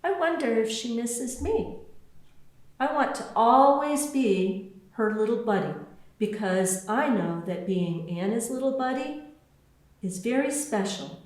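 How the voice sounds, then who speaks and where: A woman reading a children's storybook aloud as its author, with deliberate, expressive phrasing. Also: a little high. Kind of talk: scripted storybook narration. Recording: very echoey, roomy sound.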